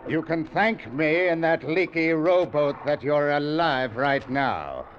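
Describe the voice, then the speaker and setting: An actor playing a smug, pompous escaped-convict ringleader in a 1940s radio drama, speaking with slow, sneering menace. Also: male voice, on the high side, lively intonation. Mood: commanding. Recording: dull, hissy old radio broadcast.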